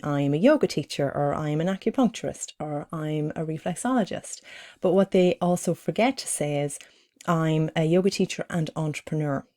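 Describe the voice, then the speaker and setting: An Irish woman in her thirties, a business coach, giving an encouraging teaching talk with some fillers. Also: low voice. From Ireland. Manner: steady, encouraging, uses fillers. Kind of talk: presentation to camera.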